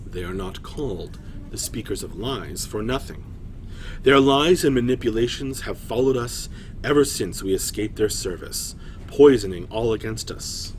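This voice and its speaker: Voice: mid-range voice, expressive, normal speed, a little mellow, slight background noise, close to an everyday voice, low-quality recording. A man playing a proud, solemn female clay golem in a fantasy story, with grave, formal speech.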